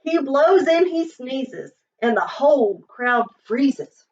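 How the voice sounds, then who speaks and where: A woman reading a children's picture book aloud in an expressive, sing-song storytelling manner. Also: sits high, slow. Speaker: storybook reader. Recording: slightly dull, distant microphone.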